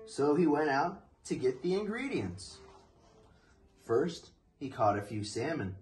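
Slow, animated young man reading aloud. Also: a little high, soft background music. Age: young adult. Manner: expressive, deliberate storytelling. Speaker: storybook reader. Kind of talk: children's picture book read-aloud. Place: living room, indoors.

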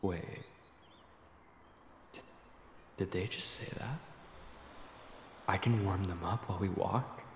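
A man playing a nervous, lovestruck, obsessive young man in an intimate ASMR roleplay, stammering and muttering to himself in a breathy, husky voice. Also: deep voice, slow pace, pauses often, a little hiss or hum, full character voice. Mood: smitten, awkward.

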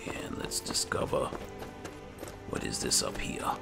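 A man giving roleplay game commentary and reading item text aloud, muttering to himself in an unhurried way. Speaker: gaming video host. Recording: game music behind voice. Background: video game music.

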